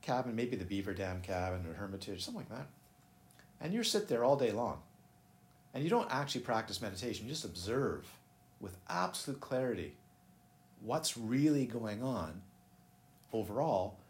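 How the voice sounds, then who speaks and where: A man's voice: lowish voice, sing-song, normal speed. A man teaching meditation in a casual, rambling way, often breaking off and putting questions to his listeners. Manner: rambling, self-interrupting, asks listeners questions. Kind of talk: dharma talk. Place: busy room. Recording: echoey room, faint ambient noise.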